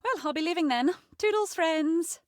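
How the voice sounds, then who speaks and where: A woman's voice: extremely high pitch, lively intonation, normal speed, no echo. Prim, haughty young lady, played by a woman, close and dry. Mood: wry, haughty. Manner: prim, wry, articulate.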